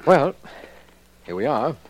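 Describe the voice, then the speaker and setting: A man's voice: medium pitch, sing-song, takes it slowly, low-quality recording. Curious lawman partner in old radio whodunit, genial, mid voice with lots of up and down. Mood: puzzled, curious. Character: male official, detective's inquisitive, hearty crime-fighting partner. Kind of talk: 1940s radio acting.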